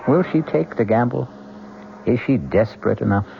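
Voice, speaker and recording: A man hosting a 1970s radio suspense drama, narrating from a script in an ominous, measured way. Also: medium pitch, expressive, gravelly, over music. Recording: dull old radio broadcast.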